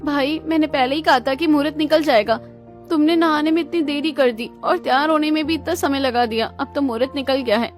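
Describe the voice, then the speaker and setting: A woman performs a devoted young sister in a cartoon story. Her voice is high, sweet and pleading, with music underneath. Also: fairly quick, close to an everyday voice. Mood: anxious. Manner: pleading, affectionate.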